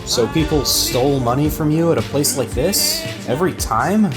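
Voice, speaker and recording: A man's voice: a little high, big pitch swings, moderate pace. A man voicing an earnest, naive young man in an amateur anime-style fan dub. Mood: puzzled. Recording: loud music over the voice.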